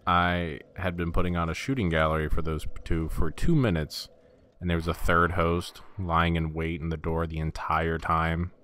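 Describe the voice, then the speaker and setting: A man with a low, deep voice talks through his gameplay in a relaxed, casual way. He is wry and self-deprecating, and he sometimes stammers over his words. Faint ambient noise sits behind him. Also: normal speed. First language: not Russian. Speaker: gaming commentator.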